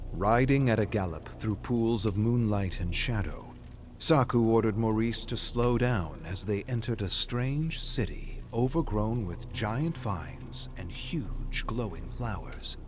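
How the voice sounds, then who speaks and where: A male actor playing a grave storyteller, narrating a dark fantasy saga in a deliberate, suspenseful way. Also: on the low side, animated. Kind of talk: scripted dramatic narration. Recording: music behind the voice. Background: music.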